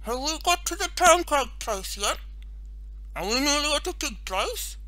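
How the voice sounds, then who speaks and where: A woman's voice: high-pitched, big pitch swings, a little slow, sharp tone, no echo, pitched above the performer's own voice, full character voice. A woman voicing a cheeky, whining little boy in a storybook reading. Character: small cheeky boy, plaintive and quick-witted.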